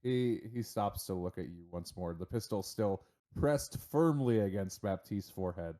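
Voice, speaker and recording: A man's voice: deep voice, normal speed, mellow. A man running a tabletop game, narrating casually and informally as game master.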